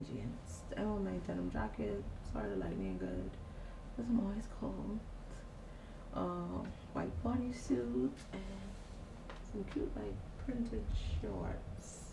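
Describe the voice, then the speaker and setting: A young adult woman with a raspy voice chatting casually in a relaxed, slangy travel vlog. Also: medium pitch, slow pace, background noise. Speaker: vlogger.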